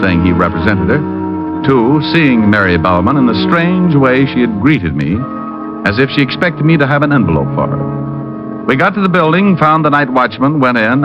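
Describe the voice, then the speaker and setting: An actor playing a wisecracking private detective who narrates a 1950s radio drama in a dry, deadpan way. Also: male voice, on the low side, close to an everyday voice. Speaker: narrator and lead. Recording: dull lo-fi old radio recording.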